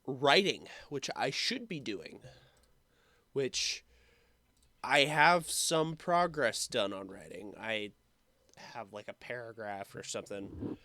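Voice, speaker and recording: A young man with a sick, slightly hoarse throat talks slowly and haltingly, stopping often to restart his thoughts. His voice is bright and clear, and the recording is dry and close. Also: mid-range voice, expressive, dry recording. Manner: rambling, halting, with many fillers and restarts. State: sick, throat sore from coughing. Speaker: YouTuber. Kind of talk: casual vlog update.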